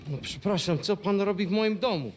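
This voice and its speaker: An actor playing a frightened, pleading immigrant debtor in a western video game, stammering in a Polish accent. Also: male voice, high-pitched, fast talker, a little hiss or hum, natural voice. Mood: confused, nervous. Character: frightened, pleading male Silesian immigrant debtor with little English. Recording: music behind the dialogue.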